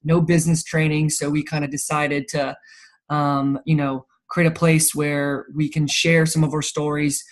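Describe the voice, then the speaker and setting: A man's voice: fairly high pitch, flat delivery, moderate pace. A man in his thirties from South Carolina with an American accent and Swiss German as first language, chatting casually as an interview guest. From Charleston, South Carolina, United States. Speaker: interview guest, physical therapist and entrepreneur.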